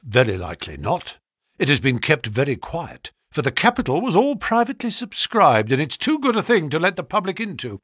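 Guy doing a pushy flattering money agent from an audiobook, sharp n brisk, voice swooping all over, bit husky. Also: on the high side, no room sound, studio quality, voice pushed up, full character voice. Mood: salesmanlike, grandiose. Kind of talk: audiobook character dialogue.

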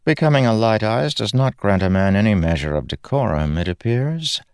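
A man voicing a stern, no-nonsense townlord's wife who talks in a brisk, scolding manner. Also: on the low side, animated, smooth, no echo, studio quality, voice pushed up. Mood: curt.

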